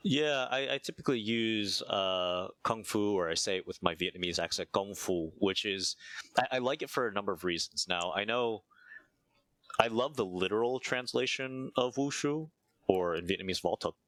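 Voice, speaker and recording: Interview guest: American man with a very deep voice and a dry, close sound. Measured and thoughtful, he thinks out loud. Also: no echo. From United States. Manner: measured, thinks aloud with frequent "uh" and "right?". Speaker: interview guest, martial arts teacher. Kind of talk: reflective conversational explanation.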